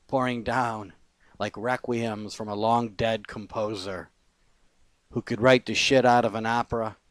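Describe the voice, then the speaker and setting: A man reading his own poetry aloud as spoken word, phrasing it deliberately in short lines with pauses between them. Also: medium pitch, no echo. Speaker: poet.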